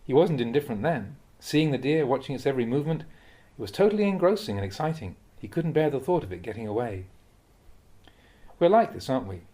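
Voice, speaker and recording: A man with a medium-pitched voice reads Buddhist teachings aloud. His delivery is measured and expressive, in the manner of an audiobook of a spoken dharma talk. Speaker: Buddhist monk reading translated teachings aloud.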